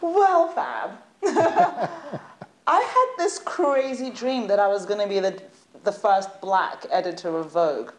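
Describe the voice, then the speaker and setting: A woman, a guest in a studio interview, telling stories in an animated, chatty way and laughing at times. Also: mid-range voice, takes it slowly. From United Kingdom. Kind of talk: casual interview chat.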